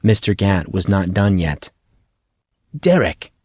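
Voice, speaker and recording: A man with a fairly low voice narrates a fantasy audiobook. The recording is dry and close, with very little room in it, and he reads prepared prose as a storyteller. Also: normal speed, darkish tone, no room sound. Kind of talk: scripted fiction narration.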